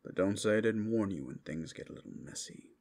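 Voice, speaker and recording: A man voicing a flirtatious, sly male werewolf in an ASMR roleplay, with teasing, drawn-out asides. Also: lowish voice, animated, darkish tone. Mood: casual, cautioning.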